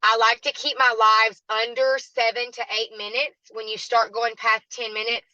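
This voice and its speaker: A woman with a raspy, hoarse voice, giving a chatty, encouraging training talk as a guest speaker. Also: on the high side, flat delivery, normal speed, bright, forceful tone, no echo, lo-fi sound. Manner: chatty and encouraging, addresses listeners as "y'all".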